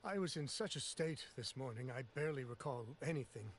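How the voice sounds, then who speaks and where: A man's voice: mid-range voice, lively intonation, a little fast, slight background noise, natural voice. An actor playing an apologetic, nervous male underling in an anime game, speaking hesitantly and deferentially.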